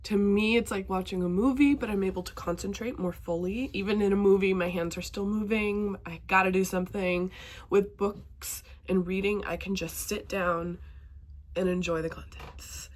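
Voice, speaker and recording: A woman's voice: mid-range voice, fairly even intonation, normal speed. A young woman vlogging casually about books, chatty and enthusiastic, her voice a bit stuffy from a cold. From northwestern Montana. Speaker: BookTube vlogger. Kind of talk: casual talking-to-camera vlog.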